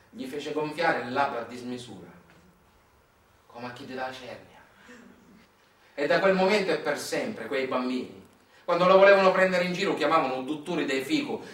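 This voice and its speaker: A man's voice: fairly high pitch, fairly bright tone. A man with a Sicilian accent performing a theatrical narrative monologue with dramatic emphasis, recorded from a distance in an echoey room. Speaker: stage monologist. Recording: echoey room, far from mic.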